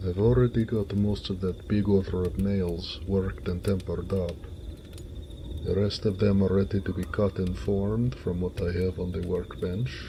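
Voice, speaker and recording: A man voicing a gentle, hardworking orc blacksmith in an audio roleplay, weary and good-natured, musing to himself in a homely way. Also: low voice, slight background noise.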